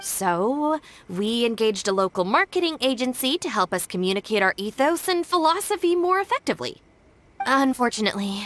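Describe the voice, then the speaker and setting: A woman's voice: a little high, fairly bright tone. A woman playing a composed young woman in a video game, speaking in formal, businesslike phrases as she covers for her gang. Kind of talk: English video game voice acting.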